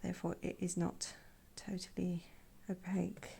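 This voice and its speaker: A woman with a croaky voice from a sore throat, softly talking through a painting tutorial in quiet, half-whispered asides. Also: lowish voice, flat delivery, normal speed, a little hiss or hum. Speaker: art channel host. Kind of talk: soft-spoken painting tutorial.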